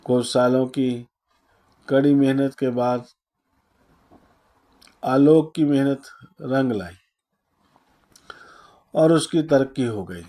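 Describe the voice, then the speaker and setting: Male narrator for moral tales: very unhurried, soft-edged and mellow, solemn, pausing often between phrases. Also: mid-range voice. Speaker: storyteller. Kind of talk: scripted moral story narration.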